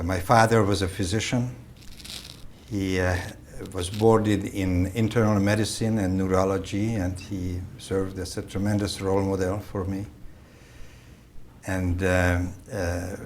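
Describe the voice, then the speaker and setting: A man in his seventies from Hungary, a native Hungarian speaker, telling his life story in a slow, halting way with many fillers and restarts. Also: low-pitched, level tone, darkish tone, a little hiss or hum. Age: 70s. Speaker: interview guest, vascular surgeon. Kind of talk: recorded oral-history interview.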